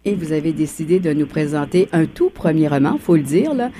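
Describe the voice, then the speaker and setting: Low-voiced woman hosting a radio interview. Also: big pitch swings, fairly quick, dark tone, slight background noise. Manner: conversational, trailing fillers. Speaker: radio host.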